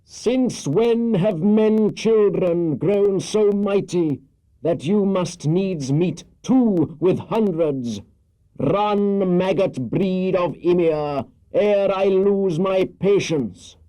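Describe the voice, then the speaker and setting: A man voicing a tall old wizard in a storybook reading, commanding and protective, with grand, archaic declaiming and a husky voice. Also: high voice, extremely slow, mellow, pitched above the performer's own voice, low-quality recording. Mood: thundering anger. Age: older.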